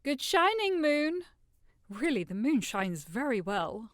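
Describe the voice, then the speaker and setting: A woman plays a sardonic noble bride in a comedy audio play. Her voice is bouncy in pitch and dismissive in manner, recorded very dry. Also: very animated, slow, no room sound, heavily acted. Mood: teasing. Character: bored, mocking noble bride. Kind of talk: modernized Shakespeare audio drama comedy.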